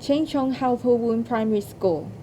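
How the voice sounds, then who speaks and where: A woman's voice: a little high, moderate pace, very noisy. A woman presenting awards from a script as ceremony host.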